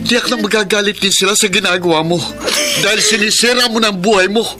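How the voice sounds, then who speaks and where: High-pitched scolding young man, acted by a man. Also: steady tone, fast talker, over music, close to an everyday voice. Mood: scolding, hurt. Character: protective, scolding adopted older male cousin. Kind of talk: Filipino radio-style drama acting.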